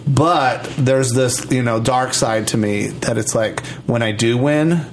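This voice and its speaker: A man's voice: medium pitch, takes it slowly. A middle-aged man hosting a podcast, chatting casually with playful, campy turns of phrase. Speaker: podcast host. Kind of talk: casual conversational interview chat. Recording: clear background noise, room ambience.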